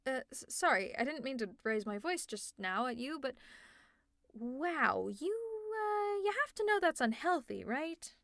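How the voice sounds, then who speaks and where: A woman's voice: high voice, pushed and bright, no room sound, studio quality. Teasing, worried villainess, played by a woman. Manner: teasing, exasperated and concerned. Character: teasing caring female villain, young adult. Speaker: ASMR roleplay creator. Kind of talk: audio roleplay as a villain speaking to a listener.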